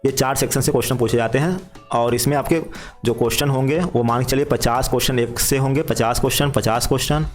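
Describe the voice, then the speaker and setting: Fast-talking man explaining. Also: mid-range voice, fairly even intonation. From India. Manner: instructional, repeats key points for emphasis. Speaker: job exam guidance presenter. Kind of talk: informal explainer narration. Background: background music.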